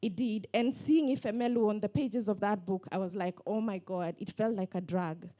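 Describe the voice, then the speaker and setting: A woman, talking on a book panel in a lively storytelling way full of fillers. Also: mid-range voice, normal speed. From Kenya. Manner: animated storytelling with many fillers. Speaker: panelist, online book lover. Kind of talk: casual panel talk.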